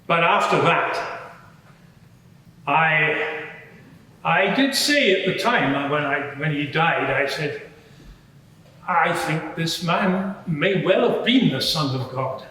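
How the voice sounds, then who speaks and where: A man's voice: on the high side, lively intonation, sharp tone, heavily acted. Man acting a Roman centurion in an echoey courtroom. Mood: earnest, unsettled. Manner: hesitant, measured, explanatory. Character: Roman centurion witness, matter-of-fact, shaken soldier. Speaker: witness at a mock inquiry. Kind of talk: semi-improvised courtroom testimony. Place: large reverberant courtroom. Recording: very echoey hall, distant mic.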